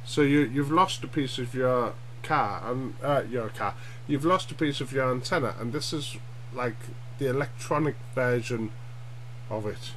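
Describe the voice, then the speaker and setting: A middle-aged man giving an informal, halting hobby demonstration at home, often checking in with short tag questions. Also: medium pitch, fairly bright tone. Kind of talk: informal explainer vlog. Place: small cluttered room at home, indoors. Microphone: webcam at arm's length. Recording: noisy webcam audio with hum.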